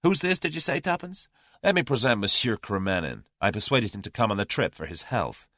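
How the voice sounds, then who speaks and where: A man voicing a spirited, eager young Englishwoman who speaks in a quick, inquisitive way. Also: lowish voice, big pitch swings, fairly bright tone, dry recording, clean studio sound, full character voice. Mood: eager, quizzical.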